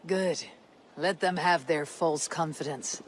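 An actor playing a resolute, commanding Viking warrior leader in a video game, speaking in terse, solemn statements. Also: male voice, on the high side, takes it slowly. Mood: grim resolve. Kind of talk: video game cutscene acting. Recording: outdoor ambience noise with horse hooves.

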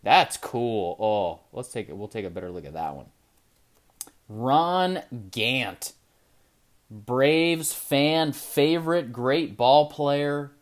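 Chatty man ripping baseball card packs. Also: mid-range voice. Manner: chatty, excited, trails off quietly while looking at cards. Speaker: trading card channel host. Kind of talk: casual pack-opening commentary. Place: indoor desk with card display. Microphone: camera over the desk, hands only in frame.

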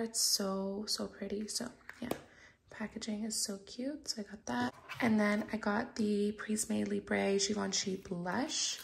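A young woman, talking in a soft, half-whispered way as she unboxes makeup at home in a casual vlog. Her voice is raspy. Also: mid-range voice, a little hiss or hum. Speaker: vlogger.

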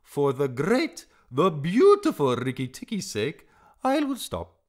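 A man's voice: on the high side, wildly swooping pitch, unhurried, a little mellow, voice pushed up. A man voicing a small, feather-brained male songbird in a storybook, with an exultant, singsong delivery. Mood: grandly obliging.

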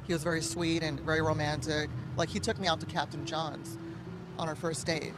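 A woman's voice: sits low, flat delivery, brisk. A woman speaking candidly into a reporter's handheld mic out on a city street. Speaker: interviewee. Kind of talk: street interview. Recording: street noise.

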